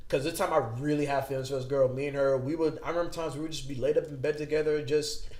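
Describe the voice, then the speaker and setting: A man tells his own story on a podcast in a casual, animated way, often repeating himself. His voice sits a little high. The room rings with echo. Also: quick pace. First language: English. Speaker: podcast host.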